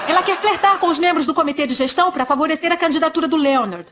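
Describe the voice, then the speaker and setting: A woman dubbing a blunt, clinical young neuroscientist in a Brazilian Portuguese TV dub, flat and matter-of-fact. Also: high voice, racing, heavy background noise, natural voice.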